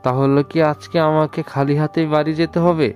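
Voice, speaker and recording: A man's voice: on the high side, little pitch movement, normal speed, darkish tone, close to an everyday voice. A man playing a stubborn old village weaver in a cartoon, muttering to himself and exclaiming as he complains. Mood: worried, searching. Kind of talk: cartoon voice acting. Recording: dull lo-fi audio with music behind.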